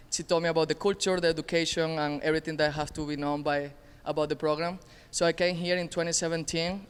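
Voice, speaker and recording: Crisp-voiced young man from Venezuela. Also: a little high. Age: 20s. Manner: earnest, slightly nonstandard grammar. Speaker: assistant tennis coach. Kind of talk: casual panel introduction. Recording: reverberant hall.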